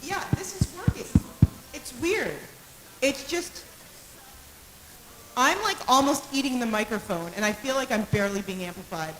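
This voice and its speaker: Woman, somewhat high-pitched voice, live sound check at a podium mic, light background hiss, live stream recording. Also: normal speed, long pauses, fairly bright tone. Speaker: bema team member testing microphones. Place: synagogue bema during a sound check. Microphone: podium microphones, sometimes speaking off mic. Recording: hissy live stream, sometimes distant from mic.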